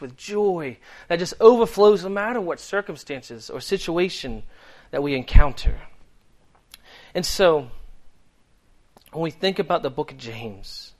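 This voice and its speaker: A man in his thirties from Delaware with a Boston accent, preaching a sermon in an earnest, conversational way with frequent ums. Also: a little high. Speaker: guest preacher.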